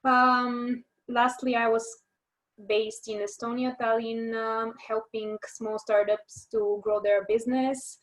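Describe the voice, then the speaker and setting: A woman's voice: a little high, flat delivery, slow, poor audio. A woman co-presenting a business webinar over a video call, welcoming and friendly as she introduces herself.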